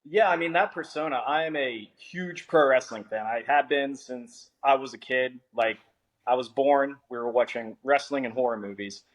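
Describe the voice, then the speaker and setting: A man's voice: mid-range voice, normal speed, rough recording. A young adult man, an author guest on a podcast, talking in a laid-back, joking way and swearing freely. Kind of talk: casual podcast interview.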